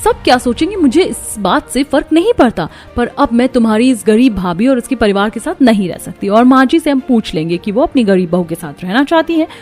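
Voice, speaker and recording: A woman's voice: fairly high pitch, expressive, fairly quick, hardly any pauses, a little mellow, faint music behind, natural voice. A woman voicing a haughty, spiteful rich young wife in a cartoon story, scornful and demanding. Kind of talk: Hindi cartoon moral story dialogue.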